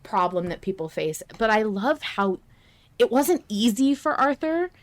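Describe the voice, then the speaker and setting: A woman hosting a casual fan discussion podcast, speaking in an emphatic, passionate and halting way. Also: mid-range voice, big pitch swings. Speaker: podcast host.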